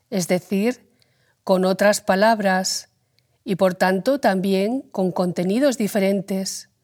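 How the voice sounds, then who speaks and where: A woman reading a religious text aloud in a calm, deliberate way. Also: medium pitch. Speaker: reader.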